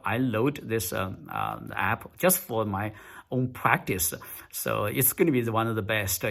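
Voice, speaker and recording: A man coaching viewers on speaking English in a casual tutorial, talking haltingly with many fillers and words repeated for emphasis. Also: fairly low pitch, gravelly, a bit bright.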